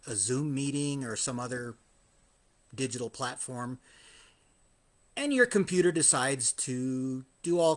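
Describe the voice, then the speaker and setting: A man hosting his own live podcast, a little hoarse after hours of singing, talking in a chatty, self-deprecating way with frequent restarts. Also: medium pitch. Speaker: podcast host. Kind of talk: live-streamed casual monologue.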